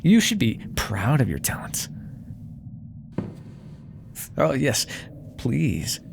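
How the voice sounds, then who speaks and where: A man's voice: mid-range voice, wildly swooping pitch, very slow, vocal fry, noisy background, exaggerated voice. A man playing a proud, menacing demon dealmaker in an ASMR roleplay, theatrical and condescending, coaxing with whispered asides. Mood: teasing, approving.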